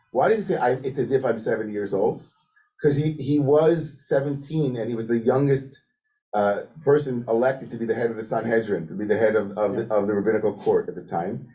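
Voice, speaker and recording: A man leading a lively study discussion as rabbi, thinking aloud with many restarts and "like" fillers. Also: mid-range voice, brisk, poor audio. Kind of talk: animated teaching discussion. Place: synagogue room with a Zoom link.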